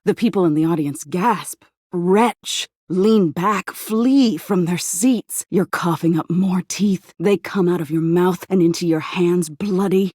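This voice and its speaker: Dark, breathy, teasing nightmare spirit. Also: female voice, lowish voice, no echo, studio quality. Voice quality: breathy, husky. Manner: slow, drawn-out, playful menace, slipping into breathy whispers. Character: sinister, teasing female living nightmare, seductively menacing. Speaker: video game character voice actor. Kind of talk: theatrical video game voice acting.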